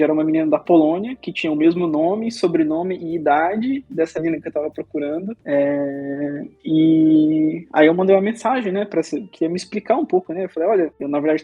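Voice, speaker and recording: A young man from Brazil, a guest, telling a personal story in an unhurried, hesitant way with a husky voice. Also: fairly high pitch, soft background music. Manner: unhurried, hesitant with many "é" fillers. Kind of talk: casual storytelling. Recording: dull lo-fi sound.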